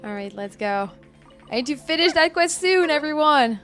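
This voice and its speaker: A woman's voice: sits high, expressive, normal speed, fairly bright tone, faint music behind. A woman from France with a French accent, a native French speaker, chatting casually with viewers as she reacts to a game. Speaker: live streamer.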